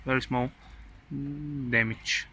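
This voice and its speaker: A man with a Bulgarian accent, speaking halting, broken English while showing off a car on a handheld phone. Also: medium pitch, fairly even intonation, background noise. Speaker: seller showing a classic car. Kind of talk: casual walkaround sales video. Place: outdoors by a car and inside it. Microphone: phone camera held by the speaker.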